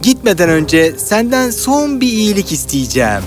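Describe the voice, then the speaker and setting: A man's voice: high voice, sing-song, normal speed, faint music behind. A man playing a polite, romantic young hero in a fairy-tale cartoon, earnest and pleading. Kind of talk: animated fairy tale acting.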